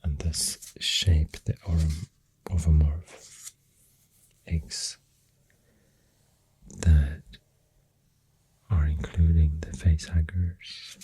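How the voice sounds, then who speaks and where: A soft-spoken ASMR creator with a Swedish accent, rambling in a breathy, husky near-whisper with hesitant pauses, close to the microphone. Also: rumbling low, little pitch movement, rough recording. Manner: slow, hesitant, pausing between phrases.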